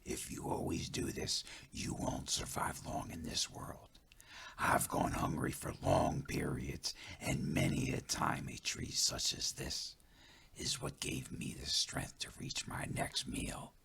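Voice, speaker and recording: A man voicing a calm, stern male forest guide spirit in a storytelling piece, speaking slowly and solemnly in a hushed, whispery voice. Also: rumbling low, creaky, a little hiss or hum, voice pushed down, full character voice. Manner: slow, solemn, measured.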